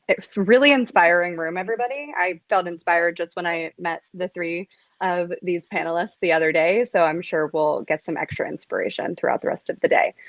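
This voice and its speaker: Panel moderator, woman, upbeat, lowish pitch, muffled dry recording. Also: no room sound. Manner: upbeat, brief transitions. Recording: dull dry lo-fi sound.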